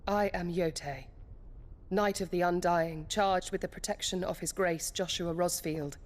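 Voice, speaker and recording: An actress playing a devoted, disciplined female knight in a fantasy game, formal, deferential and measured. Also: on the low side, fairly even intonation, natural voice. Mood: reverent. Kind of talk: dramatic fantasy game acting.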